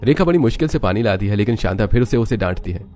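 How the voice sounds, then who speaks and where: A man's voice: lowish voice, animated, quick pace, darkish tone. A man narrating a scripted dramatic story, with music underneath.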